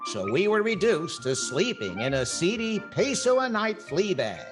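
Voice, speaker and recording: A wry male radio comedian played by an actor with a highish, lively voice, hosting and narrating an old-time comedy show. There is faint music behind him. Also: unhurried. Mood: storytelling. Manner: dry, wry. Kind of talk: scripted 1940s radio comedy.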